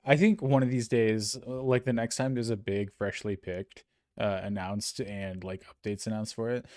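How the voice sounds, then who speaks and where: Low-voiced casual podcast host. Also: male voice, steady tone, normal speed, a little mellow, no room sound. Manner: rambling, self-correcting, chuckles often. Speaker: podcast co-host reading listener emails. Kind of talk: casual gaming podcast chat.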